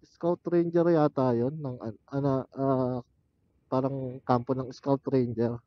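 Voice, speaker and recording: A man's voice: medium pitch, steady tone, takes it slowly, dry recording. A man chatting casually and thinking aloud as a motorcycle vlogger while he rides, speaking into a helmet intercom headset. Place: outdoors, riding a motorcycle on mountain roads. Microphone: helmet intercom headset used as external mic. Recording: muffled helmet intercom microphone.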